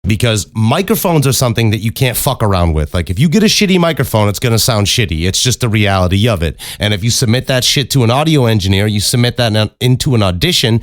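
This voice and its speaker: A man with a deep voice hosts a casual solo podcast, giving advice in a blunt, emphatic way with plenty of swearing, close on a studio microphone. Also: very animated, fast talker, hardly any pauses, no room sound. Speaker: podcast host and voice actor. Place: acoustically treated home recording room. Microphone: close studio condenser microphone.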